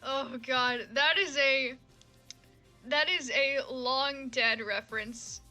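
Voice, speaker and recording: A woman's voice: high-pitched, slow pace, bright, forceful tone, faint music behind. A woman livestreaming fan fiction readings in a casual, sweary, self-mocking way. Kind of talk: casual livestream chat and comic read-aloud.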